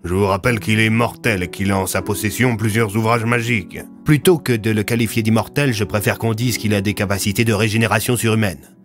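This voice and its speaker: A man's voice: on the low side, fairly even intonation, fairly quick, lightly acted. A man playing a resolute, self-sacrificing hero in an audio drama, speaking firmly and earnestly. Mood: explanatory. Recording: music under the voice.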